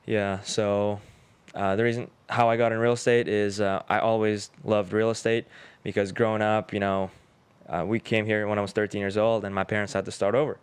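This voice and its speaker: A man in his twenties from Uzbekistan, a Russian native speaker, talking as a podcast guest in a relaxed, rambling way with many fillers. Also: on the low side, brisk, smooth. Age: 20s. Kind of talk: casual interview chat.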